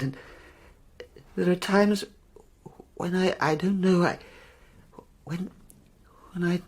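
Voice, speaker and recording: Husky, broken, stammering veteran. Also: male voice, high voice, heavily acted. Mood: confused, anguished. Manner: hesitant, stammering, plaintive. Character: broken, nervous war veteran, down on his luck. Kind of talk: radio play acting.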